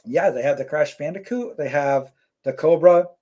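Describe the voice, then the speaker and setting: A man's voice: fairly high pitch, normal speed. A man co-hosting a casual live stream, reading out chat comments and rambling with lots of fillers. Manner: relaxed, rambling, many fillers.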